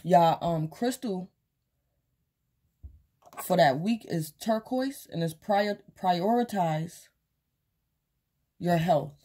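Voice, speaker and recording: Woman doing a late night tarot reading, voice mostly gone, mellow and low, rambles a lot. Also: slow, long pauses. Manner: rambling, loose, with many fillers and repeats. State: losing her voice, tired late at night. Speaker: tarot reader. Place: small indoor room at home, table of cards and crystals.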